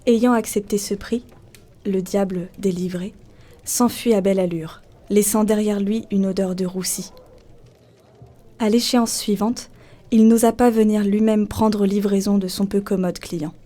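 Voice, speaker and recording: A woman's voice: mid-range voice, fairly even intonation, unhurried, mellow. A woman narrating a literary folk tale as a scripted storyteller.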